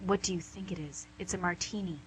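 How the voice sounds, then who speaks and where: A woman's voice: lowish voice, fairly even intonation, quick pace, breathy edge, poor audio. A woman playing a suave female devil boss in an amateur radio comedy, pedantic, condescending and mock-polite. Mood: teasing, sly. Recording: amateur radio recording with hum, noise.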